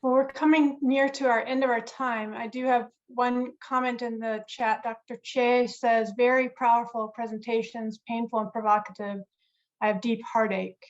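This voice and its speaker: A woman's voice: fairly high pitch, flat delivery, normal speed, muffled recording. A woman moderating a panel discussion, earnest and reflective, often restarting her phrases. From Korea. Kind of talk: webinar moderation. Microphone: video call.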